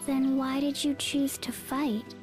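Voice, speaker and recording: A woman voicing a calm, fearless girl in a video game, matter-of-fact and curious. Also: high voice, takes it slowly, over music. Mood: calm curiosity. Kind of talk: English video game cutscene acting.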